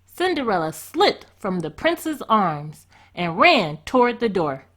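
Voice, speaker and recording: Expressive woman reading a storybook aloud. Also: mid-range voice, slow pace.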